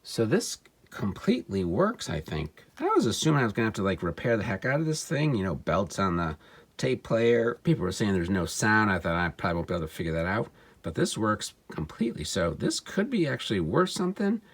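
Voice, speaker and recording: A man giving a casual, off-the-cuff gadget demo, wry and excitable, talking to himself as he tinkers. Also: fairly low pitch, a little fast, slightly rough. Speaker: vintage electronics seller demonstrating a device. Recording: music playing behind the voice. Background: music from the player being tested.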